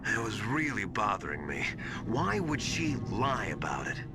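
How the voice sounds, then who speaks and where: Animated, suspicious military adviser. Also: male voice, fairly low pitch, a little slow, over loud music. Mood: confiding, grave. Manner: confiding, emphatic. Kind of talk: dramatic video game voice acting.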